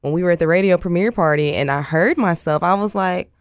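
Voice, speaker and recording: An American woman, an actress, chatting casually as an interview guest in an expressive, emphatic storytelling way. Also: fairly low pitch, fast, no echo, clean studio sound.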